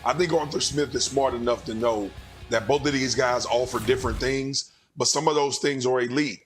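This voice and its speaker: A hoarse middle-aged man with a raspy voice, giving emphatic, conversational sports analysis as a studio analyst. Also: mid-range voice, a bit bright. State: hoarse from cheering. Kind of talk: sports talk show analysis. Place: TV studio set.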